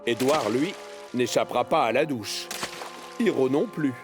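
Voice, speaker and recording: A man narrating a children's animated story in an expressive storyteller's manner. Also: mid-range voice, measured pace. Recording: music behind the voice. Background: music.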